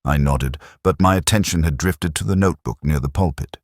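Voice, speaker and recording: Man playing a very deep, brooding detective narrator. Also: dry recording, clean studio sound. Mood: reflective, sombre. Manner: measured, reflective, melancholic. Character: brooding, literary male police inspector narrating his case. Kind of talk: scripted first-person detective narration, audio drama.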